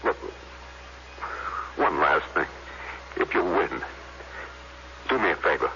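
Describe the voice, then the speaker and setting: An actor playing an idealistic, doomed renegade space explorer in an earnest, intense 1950s radio drama style. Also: male voice, very deep voice, animated, slow, pushed and bright, heavily acted. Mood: earnest, wistful. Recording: dull, slightly noisy vintage radio recording.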